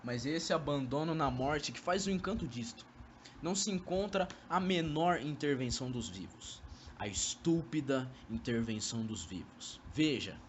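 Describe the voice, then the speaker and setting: A man's voice: mid-range voice, expressive, normal speed, slight background noise, close to an everyday voice. A young man acting a sly, coaxing former lover in an amateur radio drama, speaking Brazilian Portuguese with poetic, theatrical delivery. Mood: brooding, poetic.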